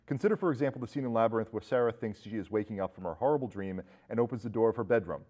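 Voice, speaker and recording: A man's voice: fairly low pitch, rapid-fire, darkish tone. A man hosting a show, talking casually about films in conversation. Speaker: movie podcast host. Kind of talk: casual film discussion.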